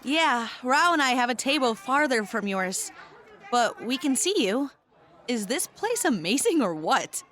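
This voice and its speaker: Woman as high, swooping playful sidekick. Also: unhurried, a faint crowd, heavily acted. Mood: friendly, excited. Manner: informal, expressive. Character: playful, casual young female sidekick spy. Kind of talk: audio drama acting.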